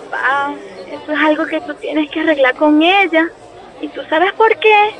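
A woman's voice: high voice, moderate pace, fairly bright tone. A woman playing an affectionate, frank young adult daughter in a radio soap opera. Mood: excited, frank. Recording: faint crowd background.

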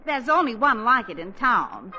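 A woman's voice: fairly high pitch, very animated, moderate pace. An actress playing an anxious, fretful middle-aged mother in a 1940s radio comedy. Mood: anxious, urgent. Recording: muffled, hissy 1940s radio broadcast.

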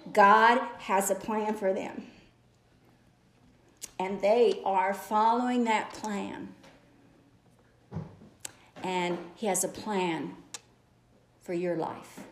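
A woman's voice: mid-range voice, slight background noise. A woman delivering a church message to a women's gathering, earnest and exhorting, building her points with repeated phrases. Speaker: lay preacher. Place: church sanctuary. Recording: echoey church room.

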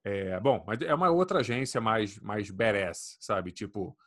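A man, chatting casually as a podcast co-host, animated and swearing freely. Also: on the low side, measured pace. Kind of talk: casual chat.

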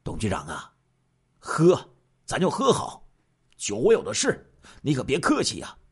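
A man's voice: mid-range voice, huge pitch swings, a little slow, breathy edge, heavily acted. A man voicing a hospitable, genial township chief in a story, coaxing in a colloquial way.

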